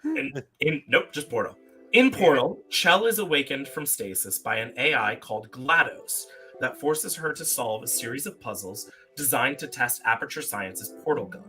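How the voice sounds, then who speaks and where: A man's voice: mid-range voice, lively intonation, moderate pace, bright, forceful tone. An enthusiastic, emphatic man chatting casually and hosting a quiz on a live stream. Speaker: host of a live trivia game. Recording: faint music behind the voice. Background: faint music.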